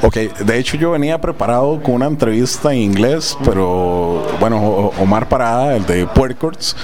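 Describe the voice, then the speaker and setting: A man's voice: medium pitch, lively intonation, normal speed. A man hosting an interview, asking rambling questions with stumbles and fillers. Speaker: radio show host, interviewer. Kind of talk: casual interview. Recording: noisy crowd in the background.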